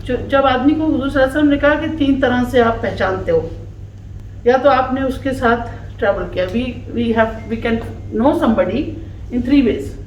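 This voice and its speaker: A woman whose first language is Urdu giving a slow, heartfelt tribute speech at a farewell gathering. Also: high voice, animated. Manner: slow, warm, emphatic, laughing between phrases. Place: office gathering room. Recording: echoey room from far off, faint noise.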